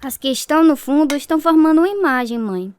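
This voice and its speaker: A woman's voice: high voice, expressive, fast talker, a little hiss or hum. An actress playing an anxious, tender young girl in a Brazilian radio drama, speaking hesitantly and fearfully. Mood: uneasy, hesitant.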